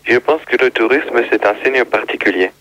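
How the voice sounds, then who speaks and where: A man's voice: mid-range voice, moderate pace, a bit bright, no echo, dull audio, natural voice. A man voicing a talkative male guest who says he is from Spain and keeps arguing and interrupting. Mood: argumentative.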